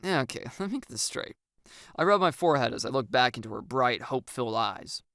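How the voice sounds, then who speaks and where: An audiobook narrator tells a first-person story and acts out the lines with wry expression. The voice has a noticeable creak and sits close, with no room sound around it. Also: mid-range voice, lively intonation, fairly quick, a bit bright, no echo.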